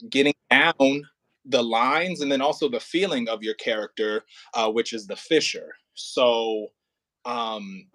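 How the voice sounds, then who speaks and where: A man working as an audio play director and acting coach, talking casually and informally, with halting pauses and emphatic repetition. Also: mid-range voice, steady tone, a bit bright, no echo, low-quality recording.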